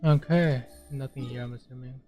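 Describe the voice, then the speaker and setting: A man's voice: medium pitch, steady tone, normal speed, dark tone, soft background music. A man giving casual gameplay commentary, talking offhandedly as if to himself while he plays. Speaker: gamer, streamer.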